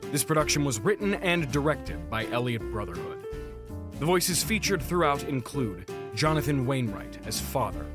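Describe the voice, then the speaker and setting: A man's voice: mid-range voice, big pitch swings, moderate pace, music in the background. A man reading a scripted credits announcement as the announcer of a production.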